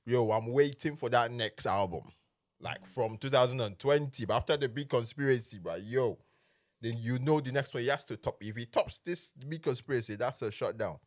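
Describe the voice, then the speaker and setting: A man co-hosting a podcast, chatting casually in slang with a halting delivery on a close studio mic. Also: medium pitch. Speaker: podcast co-host. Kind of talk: casual chat. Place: small indoor podcast studio. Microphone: close studio mic on boom arm.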